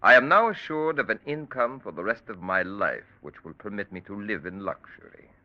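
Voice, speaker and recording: An old muffled radio crime drama recording. A man plays a calculating surgeon with a low voice and wide-swinging intonation. His speech is formal and precise, with a cold, unruffled menace. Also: expressive, normal speed, close to an everyday voice. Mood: cold, menacing. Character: cold, calculating male surgeon extorting his criminal patients.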